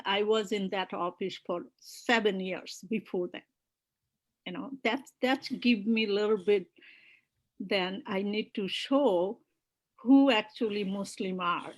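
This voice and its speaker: A middle-aged woman from Bangladesh who tells her story slowly, often pausing on fillers. She sounds earnest and keeps circling back to restart her sentences. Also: a little high, low-quality recording. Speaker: political activist guest. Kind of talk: personal story in a virtual panel.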